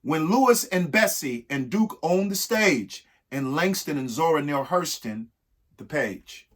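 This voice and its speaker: Husky man reading aloud with lively, swooping pitch. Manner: emphatic, pausing between short phrases. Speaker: reader of a children's picture book. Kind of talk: expressive read-aloud of a rhyming alphabet book with spoken asides.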